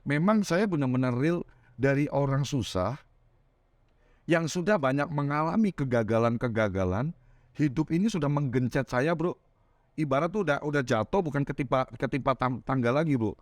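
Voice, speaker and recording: A man in his thirties from Semarang with a Javanese-tinged Indonesian accent, telling his life story as a podcast guest, animated and laughing often. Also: mid-range voice, normal speed, dry recording. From Semarang, Central Java, Indonesia. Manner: animated, laughs often, keeps adding "Bro". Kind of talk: casual storytelling interview.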